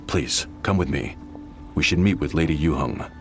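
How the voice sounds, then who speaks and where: Game soldier role: disciplined platoon leader, deep, formal address, faint music behind. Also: male voice, normal speed. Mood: respectful, businesslike. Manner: formal, crisp military courtesy. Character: formal, dutiful male soldier, platoon leader of a fantasy army. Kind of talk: video game voice acting.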